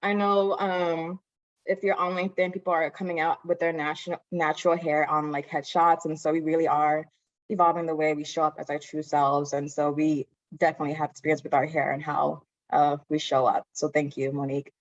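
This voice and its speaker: A woman hosts a panel with a quick, teasing manner, reacting openly to each speaker. Her voice sits a little low. The sound is dull and lo-fi, like a video call. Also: level tone. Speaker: panel moderator. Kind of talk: lively panel hosting.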